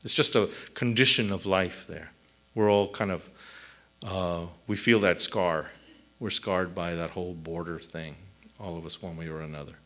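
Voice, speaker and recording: A middle-aged man, a native Spanish speaker, answering audience questions on a theater stage at a lectern mic, thoughtful and halting with many restarts. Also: low-pitched, fairly even intonation, bright, forceful tone. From El Paso, Texas. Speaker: author at a book event. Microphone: lectern mic on a stand.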